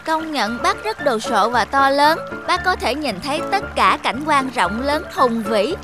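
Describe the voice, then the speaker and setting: High, childlike little stream. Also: female voice, measured pace, music in the background. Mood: argumentative. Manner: lively, childlike. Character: restless, cheeky young stream, childlike, eager to explore. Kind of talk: cartoon fable voice acting.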